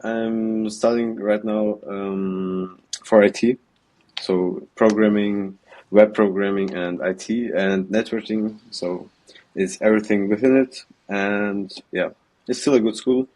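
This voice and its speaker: Young man, 18, Austrian German accent, lowish voice, hesitant, video call. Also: fairly even intonation, a little mellow, low-quality recording. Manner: hesitant, halting, with many ums. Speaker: guest, student trader. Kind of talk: remote interview answers.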